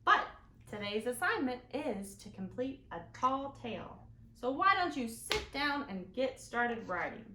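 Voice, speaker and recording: A woman's voice: a little high, big pitch swings, measured pace. A woman voicing an exasperated female schoolteacher from a children's storybook, exclaiming in scolding disbelief. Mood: exasperated, disbelieving. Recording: roomy echo, some background noise.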